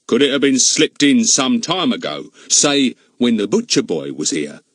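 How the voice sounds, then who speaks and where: A man voicing a pompous, slow-witted middle-aged village policeman who blusters and is easily flustered. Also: medium pitch, big pitch swings, dry recording, studio quality. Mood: blustering. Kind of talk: audiobook character voice.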